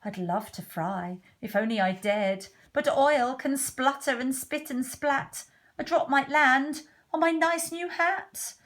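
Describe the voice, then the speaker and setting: A woman voicing a timid, panicky male cook from a children's storybook, with drawn-out fearful wails. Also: sits high, fairly bright tone, pitched above the performer's own voice. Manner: wailing, drawn-out fearful cries. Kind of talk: children's storybook read-aloud.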